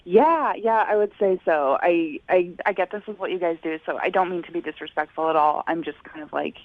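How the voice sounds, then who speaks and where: A woman's voice: lowish voice, a little fast, dry recording. A woman speaking candidly as a guest over the phone, tactful and hesitant, hedging as she recounts things. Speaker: phone-in guest on a radio segment. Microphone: phone call. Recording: muffled, band-limited phone line.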